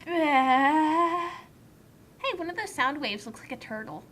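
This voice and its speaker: A woman's voice: lively intonation, slow, fairly bright tone. A young woman giving casual, exclamatory commentary, often stammering in disbelief, over a slightly noisy microphone. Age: young adult. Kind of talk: casual, reactive commentary chat. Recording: noisy microphone with low rumble.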